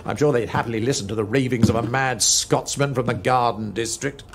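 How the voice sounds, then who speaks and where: Gravelly, sardonic army commander played by an actor. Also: male voice, medium pitch, brisk, a little hiss or hum, lightly acted. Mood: resigned, mocking. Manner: sardonic, condescending. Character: cynical, commanding male army officer, dismissive and sardonic. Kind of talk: dramatic video game acting.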